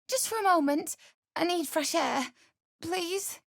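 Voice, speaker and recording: A very high-pitched, desperate young widow from a video game. She speaks slowly and pleadingly, lurching between grief and bitter anger, in a close, dry recording. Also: creaky, no room sound, heavily acted. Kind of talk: dramatic video game voice acting.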